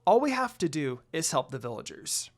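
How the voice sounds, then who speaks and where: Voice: medium pitch, big pitch swings, normal speed. A narrator voicing a kind, outspoken young priestess who is earnest and easily flustered. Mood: earnest, explaining.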